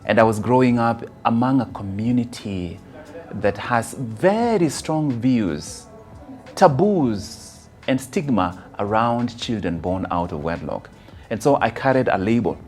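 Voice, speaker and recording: Kenyan man speaking deliberately over music. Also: medium pitch, expressive, a little mellow. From rural Kisii, Kenya. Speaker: motivational speaker. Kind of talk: motivational personal testimony.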